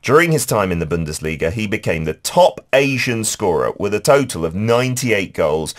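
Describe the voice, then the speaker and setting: Lively, laughing radio host man. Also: fairly low pitch, moderate pace. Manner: lively, laughs often, playful teasing. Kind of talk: casual radio chat. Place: radio studio.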